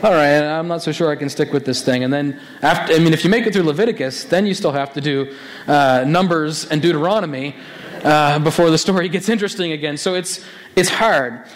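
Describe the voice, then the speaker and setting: A hoarse man with a raspy voice, a pastor preaching a conversational sermon with many restarts. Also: on the high side, a little fast.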